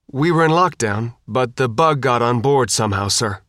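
A man's voice: medium pitch, moderate pace, a bit bright, dry recording, studio quality, lightly acted. A man voicing an earnest, formal young Marine lance corporal in an audiobook, with precise, barked military speech. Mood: anxious, defensive. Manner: barking, precise military address. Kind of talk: audiobook character dialogue.

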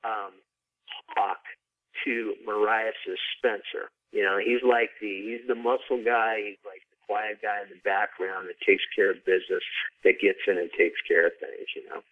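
A man with a low voice talks in a casual, explanatory way as an author being interviewed. His speech is informal and full of conversational asides. The sound is narrow and phone-like, dry and without room echo. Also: fairly even intonation, moderate pace. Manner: informal, frequent "you know" asides.

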